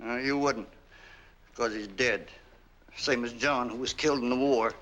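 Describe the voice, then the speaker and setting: An actor playing an older, folksy and defensive family servant in a 1940s film, with rustic, ungrammatical speech. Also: male voice, medium pitch, steady tone, a little slow, fairly bright tone, close to an everyday voice. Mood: reflective. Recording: vintage 1940s film soundtrack.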